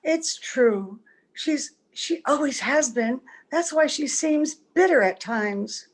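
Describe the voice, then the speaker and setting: A woman's voice: sits high, animated, slow pace. A woman playing an anxious, affectionate young-looking wife in an old-time radio play. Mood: tender, teasing. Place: home, over a video call.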